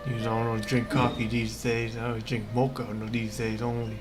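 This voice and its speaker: A woman's voice: rumbling low, level tone, moderate pace, music in the background. A woman chatting casually as she streams a video game. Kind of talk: casual livestream commentary.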